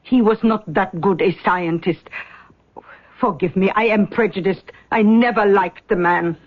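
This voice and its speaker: A woman's voice: mid-range voice, unhurried, close to an everyday voice. An actress playing a proud, prejudiced older European woman in a 1970s radio drama, with clipped, frank, formal phrasing. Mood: disdainful. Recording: slightly dull old radio broadcast.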